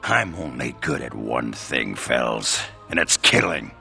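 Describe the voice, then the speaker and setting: An actor playing a crude, boisterous, drunken dwarf warrior in a video game, with a gravelly, slurring voice. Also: male voice, very deep voice, expressive, slow, pushed and bright, faint music behind, heavily acted. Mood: defensive, gruff. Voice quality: gravelly, raspy.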